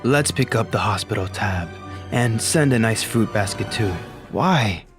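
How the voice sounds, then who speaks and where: A man's voice: on the low side, unhurried, over music, natural voice. A man playing a ruthless corporate boss who gives curt, commanding orders in a fan-dubbed motion comic.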